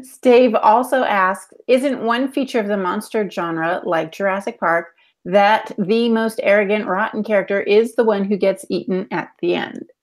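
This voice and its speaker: A woman's voice: mid-range voice, steady tone, measured pace. A middle-aged woman co-hosting a casual live podcast over a video call. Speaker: podcast co-host. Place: indoor room at home, on a video call. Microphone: earphone headset on a video call.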